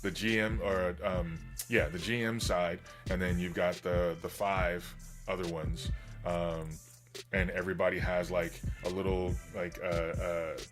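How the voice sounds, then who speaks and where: Man, livestream host, slightly hoarse, fairly flat intonation, informal enthusiastic rambling, music behind. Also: sits low, measured pace. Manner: enthusiastic, informal geek talk. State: slightly hoarse, getting over being sick. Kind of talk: casual rambling livestream chat.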